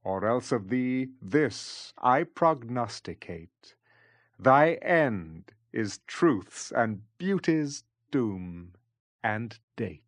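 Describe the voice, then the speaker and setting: A man giving a deliberate recital of classic verse. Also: mid-range voice, lively intonation, dry recording. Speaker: poetry reader.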